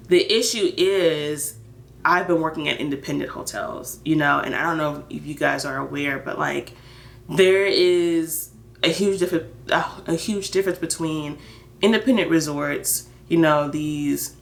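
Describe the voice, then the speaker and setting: Low-pitched, creaky-voiced American woman, age thirty. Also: fairly bright tone. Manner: candid, emphatic, conversational storytelling. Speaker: vlogger. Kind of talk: casual personal catch-up chat.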